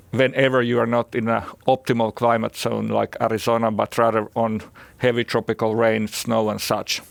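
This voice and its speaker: A man with a Finnish accent gives a conference presentation as a company executive, speaking haltingly and often repeating words. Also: mid-range voice, creaky, no echo. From Finland.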